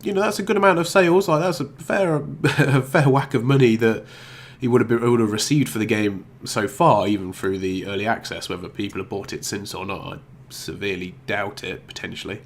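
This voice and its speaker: A man's voice: fairly low pitch, fairly quick, hardly any pauses. Stuffy-nosed man, rambling vlog. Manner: rambling and hesitant, many ums and restarts. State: blocked nose from a cold. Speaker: YouTube gaming channel host. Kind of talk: casual gaming news vlog.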